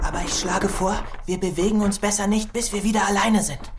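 An actress playing an eager but cautious little toy figure leading its friends in a children's radio play. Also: female voice, mid-range voice, steady tone, brisk, noisy background, close to an everyday voice.

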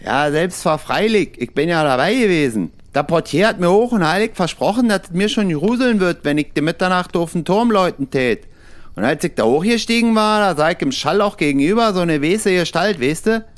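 Give this one a man acting out a foolish young miller's son from a fairy-tale radio play. He is simple and fearless, with a folksy, naive way of telling things. The voice sits fairly high for a man and swings up and down in a lively way. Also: brisk. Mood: naive, matter-of-fact.

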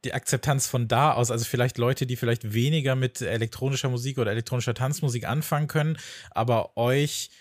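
A man's voice: mid-range voice, steady tone, fast, no echo, clean studio sound. A man co-hosting a podcast interview, asking long searching questions and rephrasing as he goes.

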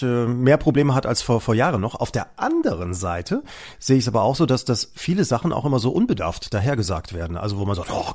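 A man's voice: medium pitch, a little mellow. Hesitant man in a casual podcast chat. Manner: thoughtful, hesitant, repeats words while searching. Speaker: podcast co-host.

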